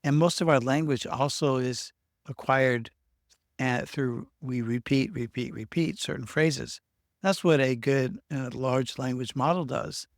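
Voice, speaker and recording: A man's voice: medium pitch, no room sound, studio quality. A man talking through ideas on worry in a loose, rambling way, often restarting words mid-sentence. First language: English. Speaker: self-help video host. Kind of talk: unscripted explanatory talk. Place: Norway.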